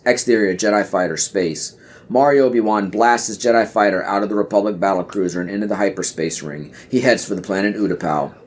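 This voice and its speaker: A man's voice: lowish voice, brisk. A man reading stage directions as the narrator of a scripted audio play.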